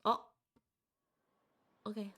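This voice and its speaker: A woman livestreaming a video game, chatting casually and reading dialogue aloud. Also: extremely slow. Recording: music behind the voice. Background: game music.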